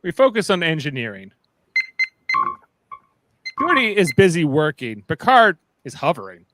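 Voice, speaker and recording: A man's voice: on the high side, wildly swooping pitch, measured pace, loud music behind the voice. A man narrating stage directions in a playful, dramatic way for a live fan script reading.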